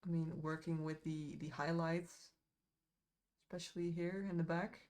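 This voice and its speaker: A woman's voice: deep voice, level tone, moderate pace, darkish tone. A woman with a Dutch accent chatting casually to her live-stream viewers while she paints, rambling a little with fillers and asides. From Netherlands.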